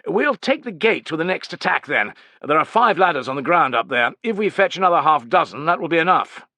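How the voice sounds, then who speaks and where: A man's voice: a little high, very animated, fairly quick, bright, forceful tone, no room sound, voice pushed up, exaggerated voice. A man voicing a spoilt, petulant young Roman tribune in an audiobook, imperious, needling and impatient. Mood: brisk, self-assured. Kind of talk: dramatic audiobook character acting.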